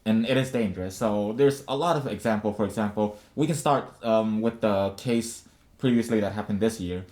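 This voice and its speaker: Guy w a lowish voice hosting a chill podcast, rambles, lots of um and restarts. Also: moderate pace, darkish tone. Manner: rambling, many restarts and fillers. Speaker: podcast co-host. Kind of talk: casual podcast discussion.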